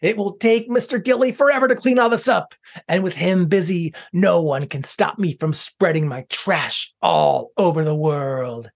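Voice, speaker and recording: A man voicing a messy, boastful villain who shouts with glee in a storybook tale. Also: sits high, normal speed, gravelly. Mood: gloating. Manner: loud, boastful and gleeful. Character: messy, gleeful male trash villain.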